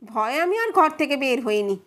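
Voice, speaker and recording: A woman's voice: a little high, expressive, brisk, a bit bright. A woman voicing a cautious male neighbour testifying in a courtroom audio drama, subdued and hesitant, hurrying through explanations. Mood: hurried, explaining.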